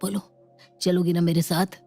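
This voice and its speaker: Woman voicing a bright-toned, low-voiced young male suitor. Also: little pitch movement, normal speed, soft background music. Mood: persuasive, pleading. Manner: sweet-talking, persuasive. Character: smooth-talking young male online suitor, flattering and insistent. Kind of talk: dramatized Hindi moral story acting.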